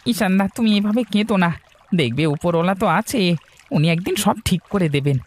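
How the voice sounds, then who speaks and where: A man's voice: high voice, animated, brisk. A man voicing a sympathetic younger villager in a cartoon, earnest and soothing. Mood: consoling. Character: sympathetic younger village friend, male, consoling. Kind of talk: cartoon fairy-tale voice acting. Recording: music bed behind the voice.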